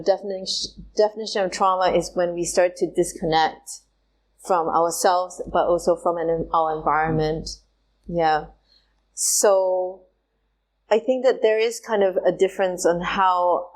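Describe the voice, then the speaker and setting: A woman from Singapore, a trauma specialist, answering interview questions in a thoughtful, halting way with many pauses and fillers. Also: fairly low pitch, poor audio. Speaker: guest, somatic trauma specialist.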